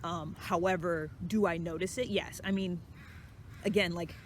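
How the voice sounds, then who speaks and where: Casual vlogger with clear background noise. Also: mid-range voice, fairly even intonation, normal speed. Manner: conversational, self-reflective, thinking aloud. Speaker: channel host. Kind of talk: casual reflective solo vlog about personality typing.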